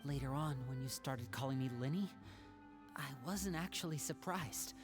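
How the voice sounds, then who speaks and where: A man voicing a poised young magician and protective older brother in a video game, speaking in a measured, pointed way. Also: a little high, over music, close to an everyday voice. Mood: reproachful.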